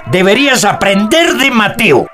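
Actor as a taunting, high-voiced Peruvian macho uncle. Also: male voice, very animated, normal speed, over music. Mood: mocking, approving. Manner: taunting, coarse. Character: older macho uncle and godfather, mocking, domineering. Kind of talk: radio drama acting.

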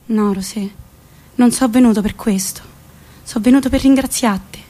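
A woman acts as a furtive young man from a village, earnest and terse. The voice stays high, with a central Italian accent, as in a stage monologue. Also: normal speed, dark tone, natural voice. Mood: humble, grateful.

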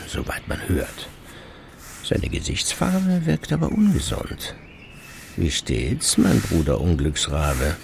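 An actor playing a sardonic, brooding older husband, musing in a literary radio play monologue. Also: male voice, low voice, creaky, a little hiss or hum, heavily acted. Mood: musing, mocking.

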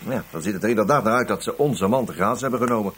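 An actor playing a flippant, sardonic intelligence agent in a Dutch radio play, casual and a little hesitant. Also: male voice, fairly low pitch, very fast, a bit bright, slightly rough. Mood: measured, hesitant. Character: wry, flippant male intelligence agent answering his boss.

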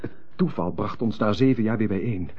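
An actor in a radio drama playing an earnest, chivalrous army major with a formal, theatrical way of speaking. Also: male voice, lowish voice, a little fast, a little hiss or hum, close to an everyday voice. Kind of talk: classic European radio drama acting. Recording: dull lo-fi old radio recording.

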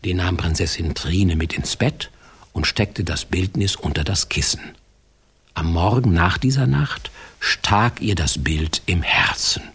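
An actor playing a wry, confiding fairy-tale narrator in a radio play, with a husky, breathy voice. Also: male voice, deep voice, unhurried, fairly bright tone, exaggerated voice.

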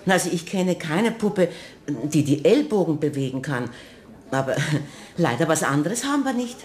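An actress playing a polite, somewhat patronizing shop assistant in a radio play. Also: female voice, sits low, normal speed, reverberant. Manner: politely patronizing, lively intonation.

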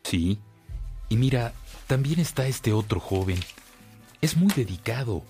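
An actor playing a devoted, self-sacrificing priest in a radio drama, earnest and expressive, with a Mexican accent. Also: male voice, mid-range voice, a little slow, slightly rough, faint music behind. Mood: earnest, approving.

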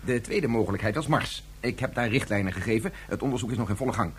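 An actor playing a flustered, impatient professor in a radio drama, husky and stammering. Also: male voice, mid-range voice, fast talker, a crowd in the background, lightly acted. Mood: exasperated, reasoning. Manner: hesitant, stammering, repeats himself. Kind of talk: Dutch radio drama acting.

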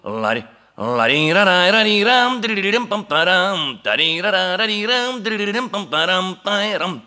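An actor playing an excitable, domineering army major with musical pretensions in a 1960s Soviet radio comedy, husky and emphatic. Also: male voice, high-pitched, unhurried, exaggerated voice. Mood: enthusiastic. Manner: emphatic, animated, sings wordless tunes. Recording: vintage 1960s radio play recording.

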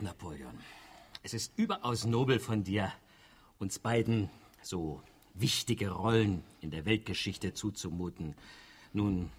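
An actor playing a disillusioned, sardonic rebel union founder in a radio drama, with dry irony and a husky voice. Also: male voice, lowish voice. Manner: rhetorical, dryly ironic, deliberate repetitions.

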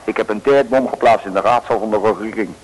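A man's voice: lowish voice, fast, a little hiss or hum, lightly acted, low-quality recording. An actor playing a cowardly male crook in an old radio play, whining and panicky.

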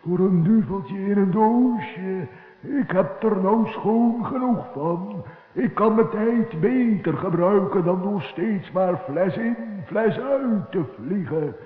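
Hollow, echoing bottle spirit. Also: male voice, high-pitched, expressive. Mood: impatient grumbling. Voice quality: hollow, strange. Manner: drawn-out, sing-song, mocking. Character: hollow-voiced, impatient, mischievous male bottle spirit. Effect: echo. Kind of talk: 1960s children's radio play acting. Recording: echoey, reverberant, tinny old radio recording.

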